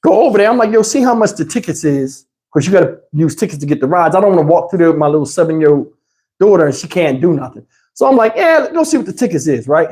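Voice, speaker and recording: A middle-aged man telling a story casually as a vlog host, in a slangy, profane and emphatic way. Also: sits high.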